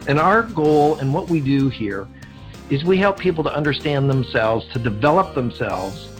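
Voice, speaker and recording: A man with a Canadian accent hosts an interview podcast in a conversational style. His voice sits at a medium pitch, and there is faint music in the recording. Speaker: podcast host.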